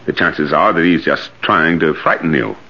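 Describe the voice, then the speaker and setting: An actor playing an assured, well-spoken police officer in an old radio thriller, with a husky voice. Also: male voice, extremely low pitch, steady tone, moderate pace. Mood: calm, reassuring. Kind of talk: 1940s radio thriller acting. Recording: muffled old radio recording.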